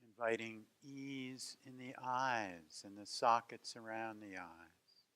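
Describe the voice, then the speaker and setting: Man gently guiding a meditation. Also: medium pitch, steady tone. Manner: gentle, unhurried phrases with long pauses. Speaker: meditation teacher. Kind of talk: guided meditation.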